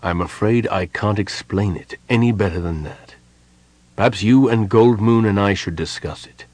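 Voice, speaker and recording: A man voicing a stern, patient male mage who explains things gravely and earnestly in a storybook reading. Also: on the low side, darkish tone, no room sound, natural voice. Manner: measured, serious, explanatory.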